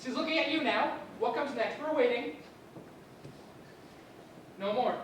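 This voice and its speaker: A woman's voice: sits high, a little slow. An actress playing a fond and solemn elderly woman who speaks formally. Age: older. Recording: very echoey, distant mic, background noise.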